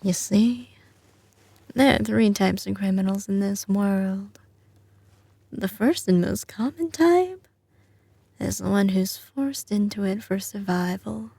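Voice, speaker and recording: Woman playing a slow-drawling Southern mafia boss girlfriend. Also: mid-range voice, gravelly, dark tone, breathy edge, no room sound, heavily acted. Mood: intimate, musing. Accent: slight Southern US drawl. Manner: drawling, teasing, sliding between commanding and tender. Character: doting but ruthless young female mafia boss girlfriend. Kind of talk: ASMR audio roleplay, intimate scripted acting.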